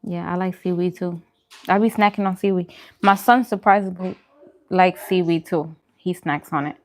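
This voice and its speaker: Woman, slightly low pitch, casual live-stream chat, informal, chatty food vlogger. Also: steady tone, moderate pace. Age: adult, parent. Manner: chatty, informal, answers viewers' comments as she goes.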